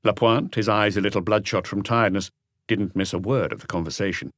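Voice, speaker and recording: A man's voice: on the low side, fairly quick, fairly bright tone, dry recording, clean studio sound. A man reading a mystery novel aloud as scripted audiobook narration.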